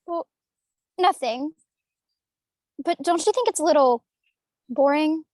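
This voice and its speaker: A high, dryly recorded voice of an ambitious young girl acted by a woman at a table read. She is confident and a bit boastful, with a brash, challenging delivery. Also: lively intonation, slow pace, darkish tone, dry recording. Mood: eager, probing.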